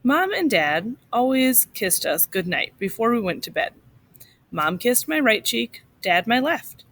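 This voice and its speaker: A woman reading a short story aloud to children in an expressive, instructive way, like a teacher. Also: a little high, unhurried.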